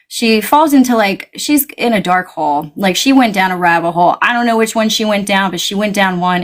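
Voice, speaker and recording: Woman, guest, casual chat, animated, pitch swings up high, quotes herself. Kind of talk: casual conversation.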